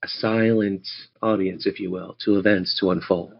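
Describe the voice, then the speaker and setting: A man running a tabletop game as game master, narrating in a theatrical, measured storytelling manner. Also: deep voice, fairly even intonation, vocal fry. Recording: dull lo-fi audio.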